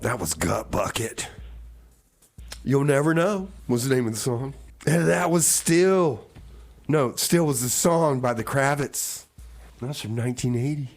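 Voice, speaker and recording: A man's voice: medium pitch, lively intonation, a little slow. A man hosting a radio comedy and music show, chatting to listeners in a folksy, exclamatory, exasperated way. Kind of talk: live comedy radio banter and music announcing.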